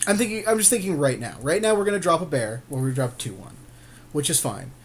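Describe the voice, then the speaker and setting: A man's voice: on the high side, animated, fast talker, slightly rough. A man co-hosting a gaming podcast, chatting casually with a friend in a rambling, slangy way with some swearing. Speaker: podcast co-host.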